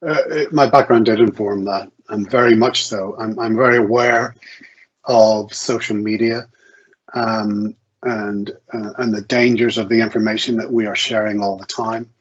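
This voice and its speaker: Husky man, muffled online call. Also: fairly low pitch, steady tone, moderate pace. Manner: hesitant, self-correcting, stammering restarts. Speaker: guest author. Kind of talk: conversational interview answers. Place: online video event.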